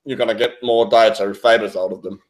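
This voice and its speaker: A man speaking non-native English that mixes American and British, chatting casually and haltingly as a podcast co-host, often searching for words. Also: fairly low pitch, fairly even intonation. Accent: non-native English mixing American and British. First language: not English. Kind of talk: casual science debunking chat.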